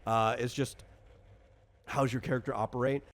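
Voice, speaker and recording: A man's voice: mid-range voice, fairly even intonation, normal speed. A man casually walking a player through a tabletop game as game master, rambling, explanatory and freely opinionated.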